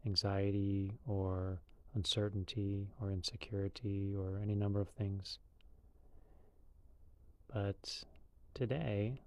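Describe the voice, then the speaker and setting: A man leading a guided meditation in a calm, unhurried, loosely conversational way. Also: fairly low pitch, no room sound.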